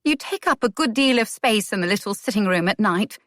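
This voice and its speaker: A woman voicing a proud young society lady, speaking up higher with an indignant, pleading edge. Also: expressive, brisk, a bit bright, dry recording, studio quality, natural voice. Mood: stiff.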